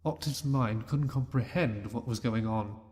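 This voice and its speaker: A man narrating a fan-written story in a steady reading voice. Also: medium pitch, a little slow. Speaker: reader.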